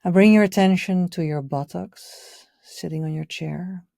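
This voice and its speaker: A woman leading a guided meditation in a gentle, soothing, unhurried way, her soft voice often trailing off toward a whisper. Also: lowish voice, no echo, clean studio sound. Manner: soothing, gentle, unhurried, with audible sighs and breathy pauses. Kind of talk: guided meditation, live session.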